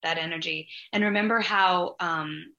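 A woman's voice: lowish voice, steady tone, normal speed, bright, forceful tone, highs cut off. A woman hosting a podcast interview, talking reflectively and searching for words, with spiritual language. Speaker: podcast host.

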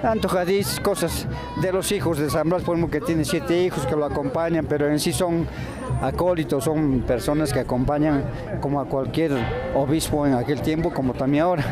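A man's voice: on the high side, steady tone, fairly quick, crowd noise. Casual man talking over music. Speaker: interviewee giving testimony. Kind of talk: casual interview talk.

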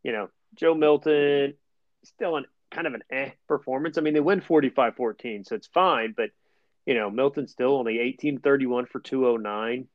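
A man's voice: mid-range voice, normal speed, no echo. Give me an easygoing man hosting a sports podcast, recorded dry and a little dull. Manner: easygoing, wry, stat-heavy. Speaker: podcast host. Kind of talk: casual sports chat.

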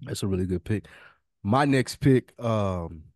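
A man's voice: on the low side, expressive, a little slow, a little mellow, no room sound, clean studio sound. A man co-hosting a podcast in casual banter, talking in a slangy, profane way. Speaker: podcast co-host. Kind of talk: casual fantasy-draft banter.

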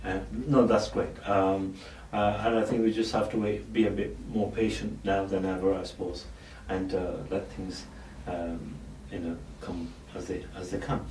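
A man with a low voice hosts a community podcast, keen and agreeable, filling gaps with yeahs and ums. He sounds distant from the mic. The room is echoey, with a faint noise underneath. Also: little pitch movement, normal speed, few pauses. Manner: eager, with many agreeing fillers. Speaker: podcast host. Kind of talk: casual interview questions.

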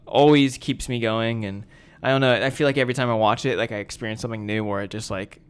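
A man's voice: medium pitch, fairly even intonation, fast talker, clear, resonant tone. A young man who is a college student co-hosting a podcast, laid-back, with short quips and trailing off. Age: young adult. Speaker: podcast co-host. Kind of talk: casual chat.

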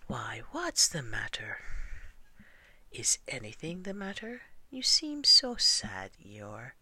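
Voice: medium pitch, huge pitch swings, slow pace, a bit bright, heavily acted. A storybook reader voicing a friendly, simple-minded little bear with an innocent, puzzled, earnest manner. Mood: surprised, concerned. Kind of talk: children's storybook character reading.

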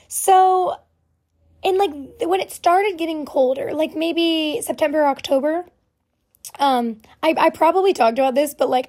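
A young woman hosts her own podcast, talking on and on in a loose, self-deprecating way. Her voice sits high but always sounds hoarse and raspy, like she's getting over a cold. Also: darkish tone. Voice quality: hoarse, raspy voice from vocal cord nodules. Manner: rambling, chatty, self-deprecating with many fillers. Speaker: podcast host. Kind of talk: casual solo podcast chat.

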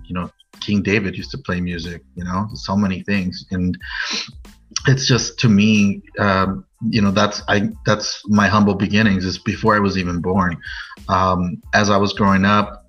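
A man's voice: sits low, fairly bright tone, husky. An American man in his late forties telling his personal story as a podcast guest in a casual, rambling way, full of "you know". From Norwalk, California, USA. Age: late 40s. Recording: muffled lo-fi audio over music.

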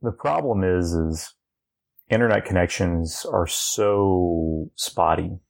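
Male podcast co-host. Deep, fry-laden voice, conversational, opinionated and explanatory. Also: slow. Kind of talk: casual chat about video game news.

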